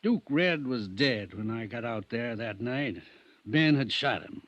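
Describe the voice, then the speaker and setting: A middle-aged actor playing a reluctant, troubled doctor in a vintage radio drama, speaking hesitantly and haltingly. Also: male voice, mid-range voice, lively intonation, a bit bright, no room sound. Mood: reluctant confession. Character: reluctant, troubled male country doctor, loyal old friend. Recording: dull, dry vintage radio recording.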